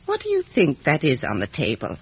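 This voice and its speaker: Husky, bitter old recluse. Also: female voice, low-pitched, huge pitch swings, brisk, heavily acted. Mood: brooding, bitter. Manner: brooding, theatrical, commanding. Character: bitter, decayed old rich recluse woman. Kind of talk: 1950s radio drama acting. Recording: muffled vintage radio recording.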